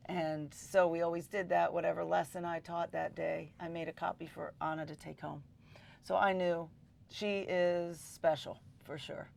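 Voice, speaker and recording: A woman's voice: fairly low pitch, level tone, moderate pace, vocal fry, a little mellow. A middle-aged woman teacher talking in an interview, enthusiastic and full of ums. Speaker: high school teacher.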